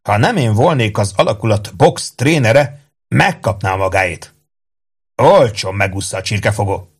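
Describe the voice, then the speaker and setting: A man's voice: lowish voice, animated, slightly rough. A man voicing a hot-tempered older army captain in an audiobook, with an indignant, emphatic, huffing manner. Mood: grudging.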